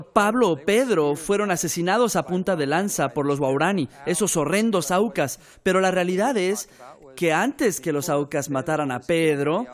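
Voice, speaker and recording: A man who grew up in Ecuador as a missionary's son, telling his own story earnestly and turning it into a lesson of faith. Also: sits high. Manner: earnest storytelling with preaching turns. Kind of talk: documentary interview testimony.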